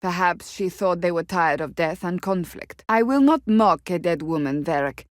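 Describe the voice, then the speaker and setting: A woman's voice: fairly low pitch, normal speed, no room sound, studio quality, natural voice. An actress playing a stern, blunt, devout warrior woman in a fantasy video game, speaking in a formal, clipped, earnest way. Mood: stern, accusing.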